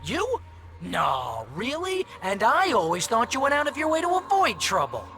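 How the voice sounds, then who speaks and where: A man's voice: very high voice, huge pitch swings, moderate pace, exaggerated voice. An actor playing a brash imaginary best pal in a video game, glib, slangy and sarcastic as he teases and needles. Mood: teasing. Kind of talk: comic game dialogue. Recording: loud music behind the voice.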